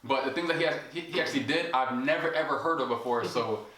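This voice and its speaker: A man's voice: medium pitch, fast talker, bright, forceful tone. Casual filler-heavy male host. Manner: casual, filler-heavy, lots of "like" and "you know". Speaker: trading coach and host. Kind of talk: casual interview chat. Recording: echoey room.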